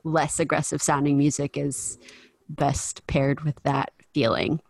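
Young woman podcast host, somewhat low voice, dry close sound, teasing and quick to laugh. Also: level tone, creaky, darkish tone, no echo. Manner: playful, teasing, laughs easily. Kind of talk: casual interview chat.

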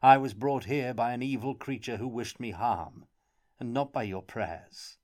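A man voicing a travelling player who speaks gently and calmly. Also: mid-range voice, a little slow, natural voice. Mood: gentle. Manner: gentle, calm.

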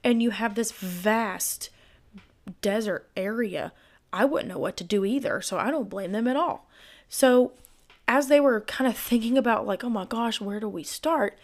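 A woman hosting a true crime podcast, her voice hoarse and giving out, narrating casually with chatty, speculative asides. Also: a little high, moderate pace.

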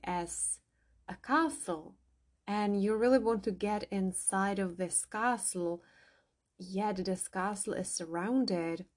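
A woman whose first language is not English, a hypnotherapist and coach, calmly guiding listeners through a journaling exercise. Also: mid-range voice. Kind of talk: guided journaling podcast.

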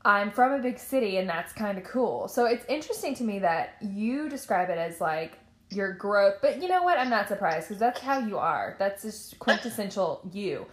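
A woman from Tennessee, hosting a casual chat, animated and emphatic. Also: medium pitch, normal speed, echoey room. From Nashville, Tennessee, US. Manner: animated, emphatic, warm affirmations.

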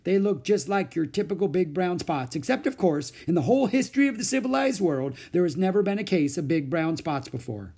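A man voicing an excitable, absent-minded older male doctor who talks in pompous, booming exclamations in a storybook reading. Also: brisk, few pauses, husky, exaggerated voice. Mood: blustery.

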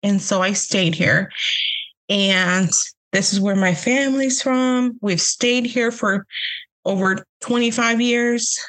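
Slow-talking Honduran-accented woman. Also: mid-range voice, a bit bright, low-quality recording. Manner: unhurried, hesitant with many fillers, laughs often. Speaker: podcast guest. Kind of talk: casual interview chat.

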